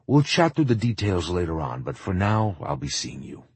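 Man acting a husky, whimsical old shopkeeper. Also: low-pitched, sing-song, normal speed, no echo, voice pushed up, heavily acted. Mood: warm, wistful. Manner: gentle, encouraging, wistful. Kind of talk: audiobook character acting.

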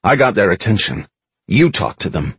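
A man's voice: fairly low pitch, sing-song, moderate pace, a bit bright, husky, dry recording, heavily acted. A man voicing a hard-nosed armored soldier in an audiobook, blunt, urgent and commanding. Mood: resigned. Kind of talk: dramatised audiobook dialogue.

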